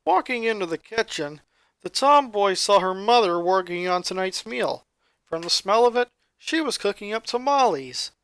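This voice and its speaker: A man reading a story aloud as scripted narration, with dramatic, expressive delivery. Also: high voice, clean tone, dry recording. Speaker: narrator, fan fiction reader.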